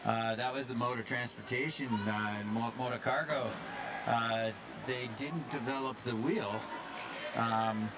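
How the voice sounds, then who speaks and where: A man doing relaxed color commentary at an ice rink, drifting from story to story with plenty of fillers and false starts. His voice sits in an ordinary middle pitch, and loud arena ambience fills the background. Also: unhurried, very noisy. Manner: rambling, storytelling, many fillers and restarts. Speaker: hockey commentator.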